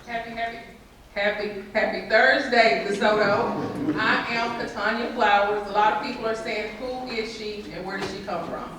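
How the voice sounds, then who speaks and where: A woman's voice: medium pitch, normal speed. Folksy woman campaigning, echoey hall. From Texas. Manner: lively, folksy, animated. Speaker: mayoral candidate. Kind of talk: campaign speech. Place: civic center event room. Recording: echoey hall, far mic, faint noise.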